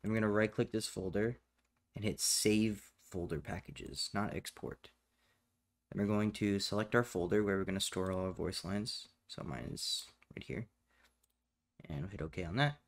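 A man narrating a step-by-step software tutorial in a matter-of-fact, instructional way. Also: fairly low pitch, moderate pace, pauses often. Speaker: tutorial presenter.

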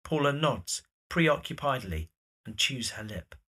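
A narrator reading scripted stage directions for an audio drama in a measured, descriptive way. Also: medium pitch, expressive, bright, forceful tone.

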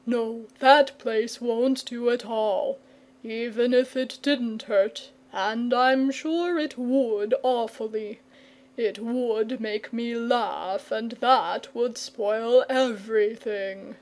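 A woman's voice: a little high, slow, darkish tone. A woman voicing a languid, coy, fussy male dragon in a storybook, with a leisurely drawl that can turn testy. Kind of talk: storybook character reading.

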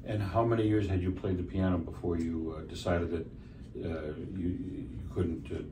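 An older man interviewing a musician in casual conversation, relaxed and rambling with fillers. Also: low voice, moderate pace. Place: small room with a framed map on the wall. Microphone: stand mic, filmed by a far camera. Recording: roomy, distant, noisy.

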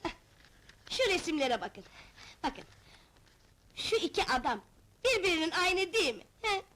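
A woman's voice: very high voice, sing-song, a little slow, fairly bright tone, a little hiss or hum, exaggerated voice. A woman playing a stubborn, hot-tempered middle-aged wife in a broad comedy film, scolding and wailing theatrically. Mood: pleading, fond. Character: stubborn, hot-tempered wife hunting her lost husband.

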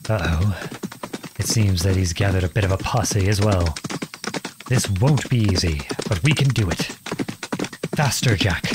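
A man playing an earnest, protective young outlawed prince in an audio roleplay, with a gentle, unhurried, folksy manner. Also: fairly low pitch, very noisy. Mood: urgent. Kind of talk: ASMR roleplay acting.

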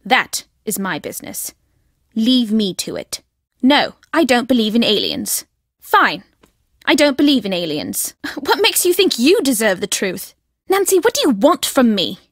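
A woman's voice: fairly high pitch, no room sound, clean studio sound. An actress voicing a secretive woman in a video game who believes fervently in ancient aliens. She speaks in a curt, cryptic manner that turns fervent. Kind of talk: recorded game voice lines.

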